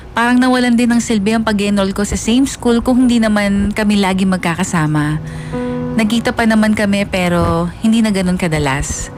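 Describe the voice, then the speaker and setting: A woman's voice: medium pitch, close to an everyday voice. A woman playing a wistful young college woman who tells her love story as confessional radio drama narration. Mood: wistful, frustrated. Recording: music bed under the voice.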